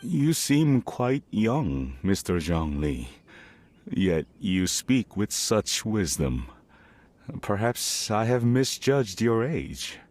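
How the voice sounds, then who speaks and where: Casting: a middle-aged tea merchant for a video game. Deep register, unhurried pace, worried, earnest and careworn. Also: male voice, sing-song, darkish tone. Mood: humbled, polite. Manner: hesitant, earnest, weary. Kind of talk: scripted video game dialogue.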